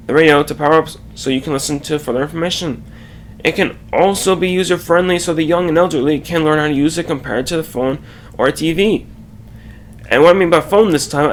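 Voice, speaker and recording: A man reading a scripted slide presentation aloud, haltingly, correcting himself and adding asides. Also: a little high.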